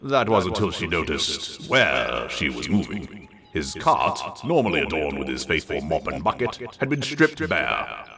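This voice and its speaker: A man's voice: a bass voice, big pitch swings, a little slow, vocal fry. A man reading a story aloud in a dramatic narrating style. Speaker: story reader.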